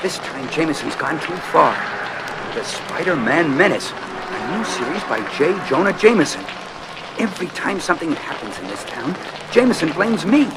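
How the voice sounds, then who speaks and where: Indignant young superhero, high-voiced over loud noise. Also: male voice, sing-song, moderate pace. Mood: indignant, exasperated. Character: indignant young male superhero, exasperated at being blamed. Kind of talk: vintage superhero record dramatization. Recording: noisy old record with loud crowd ambience.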